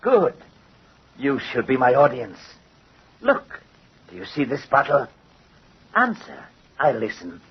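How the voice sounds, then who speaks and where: An actor playing a vengeful, gloating doll-maker in old-time radio horror, with a theatrical, menacing and mocking manner. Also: male voice, mid-range voice, wildly swooping pitch, slow pace, long pauses, full character voice. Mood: menacing, exultant. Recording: husky, muffled old radio recording.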